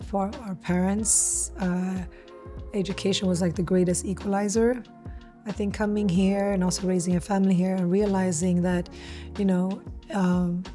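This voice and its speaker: A woman's voice: medium pitch, warm tone. A middle-aged woman who grew up in Sweden, talking earnestly and reflectively in an interview, explaining her work. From Stockholm, Sweden; born in Eritrea. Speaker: founder of a community food nonprofit, interviewee.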